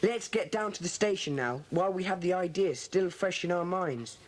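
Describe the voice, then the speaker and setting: A woman's voice: on the low side, lively intonation. Woman as Peter, bossy schoolboy club leader. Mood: earnest, decisive. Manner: brisk, earnest, commanding. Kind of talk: children's audiobook dramatisation. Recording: hissy old cassette dramatisation.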